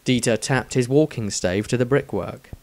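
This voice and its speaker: A man reading his own fantasy story aloud in a deliberate, dramatic storytelling manner. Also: medium pitch, a little mellow. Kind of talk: scripted fantasy audiobook narration.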